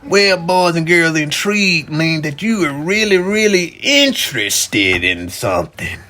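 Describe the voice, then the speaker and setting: A man telling an improvised bedtime story to children as a dad and host, animated and drawn-out, repeating phrases for emphasis. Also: fairly high pitch.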